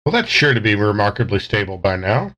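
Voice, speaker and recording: A man's voice: fairly low pitch, sing-song, a little fast, sharp tone. A man playing a tabletop roleplaying game, talking casually in character.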